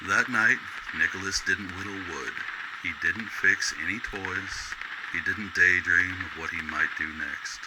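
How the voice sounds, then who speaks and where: A man with a deep voice narrates a radio play. His delivery is measured and solemn, and heavy noise runs behind the voice. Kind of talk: scripted dramatic story narration, old-time radio style.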